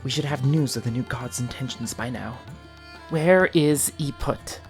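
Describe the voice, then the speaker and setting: A woman's voice: extremely low pitch, a little slow, soft background music. A woman playing an impatient, skeptical temple vizier in a fantasy audio drama, wry and questioning.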